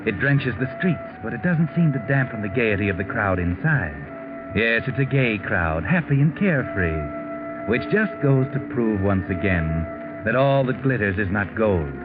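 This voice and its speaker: Sinister mystery narrator played by a male actor, lowish, portentous, over prominent music, tinny vintage radio. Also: expressive, normal speed, natural voice. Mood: wry, foreboding. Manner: portentous, sardonic. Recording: tinny old radio recording.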